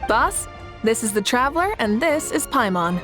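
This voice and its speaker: A woman's voice: on the high side, big pitch swings, moderate pace, over music. A woman voicing a fiery, confident female leader and tournament host who speaks with passion and a teasing edge. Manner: self-assured and passionate, teasing. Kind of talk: game cutscene voice acting.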